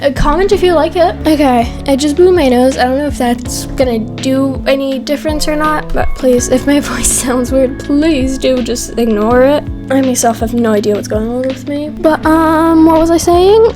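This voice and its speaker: A woman's voice: sits high, moderate pace, few pauses, music in the background. A teenage girl chatting through a voiceover for her building video, rambling and giggling often, sounding a bit congested. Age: teens. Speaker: gaming YouTuber. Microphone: USB condenser mic.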